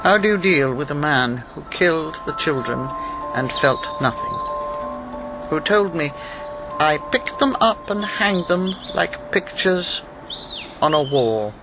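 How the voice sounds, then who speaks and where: An actress playing a grave, steely older former wartime agent in a radio drama, speaking in a measured, probing way. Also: female voice, deep voice, expressive, music in the background, full character voice. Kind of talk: naturalistic radio drama acting. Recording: birdsong and ambient background noise.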